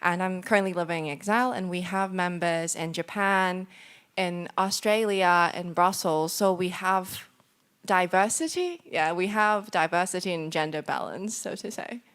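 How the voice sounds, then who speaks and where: A young woman from Hong Kong answering audience questions at a conference, casual and quick to correct herself. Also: fairly low pitch, fairly bright tone, smooth. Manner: casual, self-correcting, laughs easily. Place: conference room.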